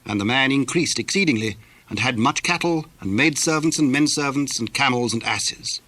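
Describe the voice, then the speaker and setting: A man giving measured, formal scripted narration for a dramatized Bible reading. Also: mid-range voice, pushed and bright, no room sound.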